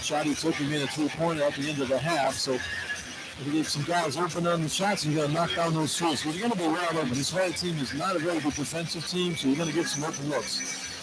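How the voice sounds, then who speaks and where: A man's voice: on the high side, steady tone, fairly quick. A man giving informal, opinionated color commentary on a live radio basketball broadcast in a conversational, colloquial way. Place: indoor basketball arena. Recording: radio broadcast over loud arena noise. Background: loud arena ambience.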